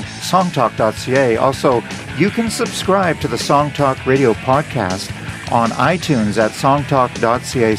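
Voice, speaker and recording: A male radio host signs off from the studio, running through plugs and thank-yous with a dry, joking edge. His voice sits at an ordinary male pitch. A music bed plays clearly underneath. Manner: wry, reads out plugs and thanks briskly. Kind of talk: radio talk show wrap-up.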